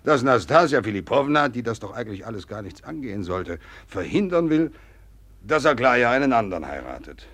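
A man's voice: mid-range voice, sing-song, fairly quick, fairly bright tone. A man acting an older, fatherly Russian general in a German radio play, flustered, rambling and hesitant as he confides. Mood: insistent. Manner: rambling, hesitant, circling back with interjections.

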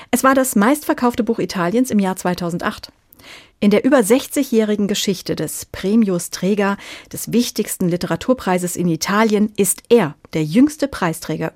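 A woman's voice: mid-range voice, a little mellow. Woman presenting a radio book programme. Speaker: radio book-review host. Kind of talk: scripted presenting with short interview questions.

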